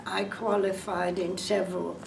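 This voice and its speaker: A woman's voice: on the low side, flat delivery, a little slow, gravelly. An English woman in her eighties talking about her home in a chatty, meandering way. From England. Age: 80s. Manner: chatty, meandering, unsure of details. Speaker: senior housing resident. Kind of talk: interview testimony. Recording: echoey room, mic at a distance, background noise.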